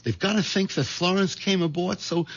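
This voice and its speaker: A man's voice: sits high, moderate pace, no echo. An actor playing a meek, nervous American husband caught up in his own crime, in old-time radio drama style. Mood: anxious, drained. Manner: hesitant, anxious, stammering under pressure. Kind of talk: old-time radio drama acting and first-person narration. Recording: dull lo-fi radio recording, music behind.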